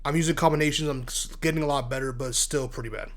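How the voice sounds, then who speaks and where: A man hosting casual solo sports talk, congested from asthma and allergies, blunt and animated, stumbling and repeating words as he gets worked up. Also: mid-range voice, racing. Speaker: sports commentary host.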